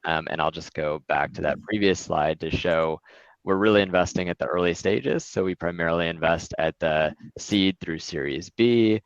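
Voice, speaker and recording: Deep-voiced guy presenting on a video call, dry dull sound, lots of ums. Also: normal speed, no echo. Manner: relaxed, conversational with frequent fillers. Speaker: venture investor presenting. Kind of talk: webinar presentation.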